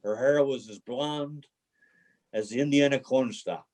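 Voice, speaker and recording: A man's voice: mid-range voice, unhurried, darkish tone, natural voice, rough recording. A man playing a homeless drifter in a play reading, a cynical, bruised romantic who tells his story in a folksy, dramatic way. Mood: wistful, reminiscing. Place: remote video call reading.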